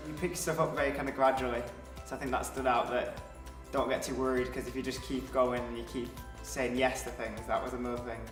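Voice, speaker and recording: A man's voice: medium pitch, flat delivery, brisk, hardly any pauses. A young man from South Cumbria, a graduate trainee, giving reflective interview answers in loose phrasing. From South Cumbria, England. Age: young adult. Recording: roomy, distant mic with music behind.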